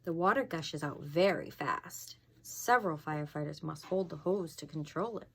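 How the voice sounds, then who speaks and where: A low-voiced woman with a creaky edge reads a picture book aloud for children. Her delivery is animated and expressive, and she often steps out of the text to chat and ask questions. Some noise sits behind her voice. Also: normal speed. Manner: expressive, asking the young listeners questions. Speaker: fire inspector reading a children's book. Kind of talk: read-aloud storytelling with casual asides to children.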